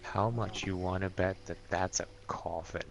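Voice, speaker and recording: Gravelly, deep-voiced man at a game table. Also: steady tone, moderate pace, a little hiss or hum. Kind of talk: casual game-table chat.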